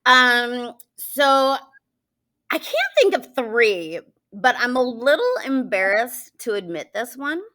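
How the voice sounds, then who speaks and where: A woman's voice: a little high, sing-song, slow, pushed and bright. A woman in her forties from Michigan, a teacher chatting casually as an interview guest, with lots of fillers and frequent laughter. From Michigan, USA. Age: 40s.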